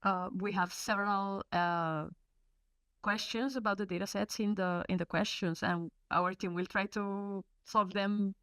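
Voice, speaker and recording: A woman's voice: medium pitch, fairly even intonation, measured pace, a bit bright, clean tone, no room sound. A woman from Colombia, an English speaker, presenting in a webinar with many fillers and restarts. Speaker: research program presenter. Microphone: video call.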